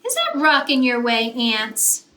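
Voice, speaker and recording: A woman's voice: a little high, huge pitch swings, measured pace. A woman voicing a plucky, imaginative little girl who plays superhero in a children's storybook, exclaiming with boastful eagerness. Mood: confident, helpful. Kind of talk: children's storybook read-aloud. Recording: roomy echo, faint noise.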